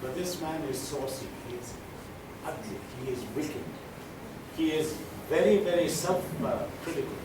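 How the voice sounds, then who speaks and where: A man with a slightly high voice talks informally to an audience, emphatic and halting. He is off-mic in a very echoey room, under loud noise. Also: expressive, distant mic. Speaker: poetry presenter. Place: large echoey room at a live event.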